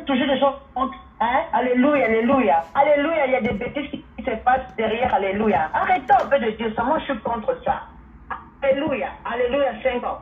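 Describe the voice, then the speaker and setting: A woman who grew up speaking Portuguese, calling into a talk show and speaking emphatically, repeating herself and exclaiming hallelujah. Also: a little high. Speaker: caller. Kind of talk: opinionated phone-in talk. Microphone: phone line. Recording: tinny phone line, faint noise.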